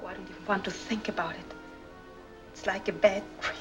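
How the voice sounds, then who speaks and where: Actress playing troubled young widow over film music. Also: female voice, mid-range voice, steady tone, a little fast, lightly acted. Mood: haunted, fearful. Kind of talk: 1940s film acting. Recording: old film soundtrack, music behind.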